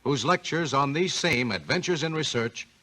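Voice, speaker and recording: A man's voice: a little high, animated, moderate pace, sharp tone. A man hosting a scripted 1940s radio talk program. He introduces the guest and puts folksy, curious questions to him. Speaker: radio host and announcer.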